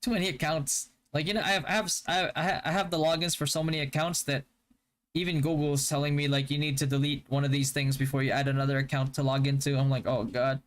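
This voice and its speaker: Flat-voiced man chatting casually on stream. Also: a little high, fast talker. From Philippines. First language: Hiligaynon. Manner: relaxed, stop-start, with frequent fillers and restarts. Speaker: game streamer. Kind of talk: casual live-stream chat answering viewers.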